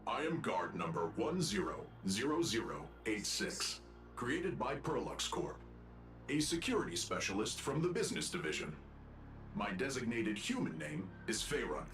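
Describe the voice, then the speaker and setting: A courteous robot guard from a video game, with strong echo on the voice. The delivery is formal and polite with animated intonation, and music plays behind it. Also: male voice, fairly low pitch, moderate pace. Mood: formal welcome. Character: courteous robot security guard and corporate escort. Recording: echoey voice over music.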